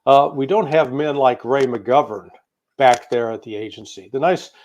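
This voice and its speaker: A male American commentator with a medium-pitched voice, talking as an interview guest. He gives opinionated political analysis off the cuff, emphatically stacking one point on the next. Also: moderate pace, darkish tone, highs cut off. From United States. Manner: emphatic, piling up lists, opinionated.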